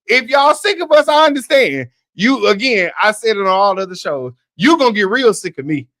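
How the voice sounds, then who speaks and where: A man co-hosting a sports podcast, talking in a relaxed, chatty way and laughing easily. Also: high-pitched, moderate pace, no room sound. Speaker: podcast co-host. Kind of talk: casual podcast chat.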